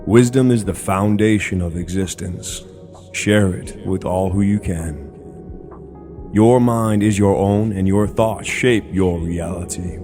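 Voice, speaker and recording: Deep, slow-speaking male meditation guide. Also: mellow. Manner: slow, deliberate, soothing delivery in short phrases. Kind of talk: scripted guided meditation narration. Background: background music.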